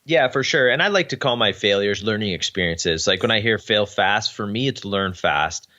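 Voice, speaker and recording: A man's voice: lowish voice, animated, moderate pace, pushed and bright, dull audio. A young Canadian man, a podcast guest, talking earnestly in a casual interview with frequent ums. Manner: earnest, uses many ums.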